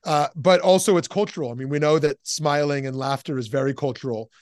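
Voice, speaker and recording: A man leading an informal class discussion as a rabbi and teacher, speaking haltingly and often repeating his words and phrases. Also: fairly high pitch, darkish tone, no room sound, studio quality.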